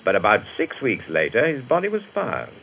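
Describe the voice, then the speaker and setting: An actor playing a jovial, brisk British police records man in a radio drama, chatty and clipped in his delivery. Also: mid-range voice, wildly swooping pitch, gravelly, husky, narrow, tinny audio, full character voice. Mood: matter-of-fact recounting. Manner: chatty, clipped sentences with bursts of amusement.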